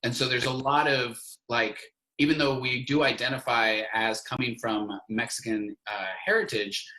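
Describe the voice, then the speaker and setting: A man's voice: medium pitch, fairly even intonation, moderate pace, sharp tone, rough recording. A man co-hosting a podcast, talking reflectively with a lot of "like" fillers. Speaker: podcast co-host. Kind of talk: casual podcast conversation.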